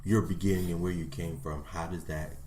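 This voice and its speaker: Brief: male co-host, calm and soft-spoken, very low dark voice, echoey room. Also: a little fast, a little hiss or hum. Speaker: podcast co-host. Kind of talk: interview questions. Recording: roomy echo.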